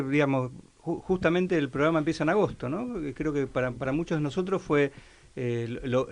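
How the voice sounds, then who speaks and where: Man, creaky voice, radio co-host, medium pitch, hesitant, reflective chat. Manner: hesitant, keeps checking with tag questions.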